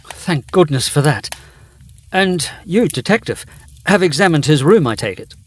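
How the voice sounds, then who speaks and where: Proper gentleman lawyer hero, kinda high for a man, earnest, formal, gothic audio drama. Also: animated, normal speed. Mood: concerned. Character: earnest, concerned gentleman barrister narrating his story. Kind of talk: first-person narration and audio drama dialogue.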